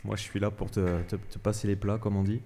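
A man's voice: lowish voice, level tone, fairly quick, in a large hall, a crowd in the background. A man hosting a live radio interview at a cultural centre, asking questions hesitantly with many fillers and restarts.

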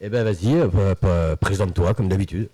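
Low husky man hosting a radio show. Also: brisk, no echo. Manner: relaxed, chatty, drops English yeses. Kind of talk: casual radio interview. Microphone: studio mic.

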